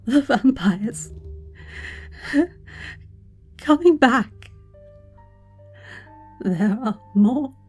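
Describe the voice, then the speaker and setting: Wounded angel, high husky voice, halting broken phrases, pained, pleading, audio fiction. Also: female voice, animated, a little mellow, exaggerated voice. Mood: desperate, begging. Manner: halting, faint broken words. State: in pain, gasping. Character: gravely wounded dying female angel, pleading. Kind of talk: dramatic audio fiction acting. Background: music.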